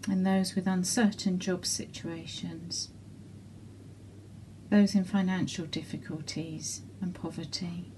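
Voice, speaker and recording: A woman leading intercessory prayers in a measured way, pausing between short petitions. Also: lowish voice, warm tone, a little hiss or hum, poor audio. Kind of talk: slow spoken intercessory prayer.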